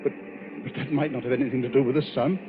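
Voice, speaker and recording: Actor as gruff, irritable older man. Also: medium pitch, steady tone, fast, lightly acted. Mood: probing, grave. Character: gruff, irritable, commanding older male passenger. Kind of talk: 1940s radio acting. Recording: tinny, noisy old radio recording.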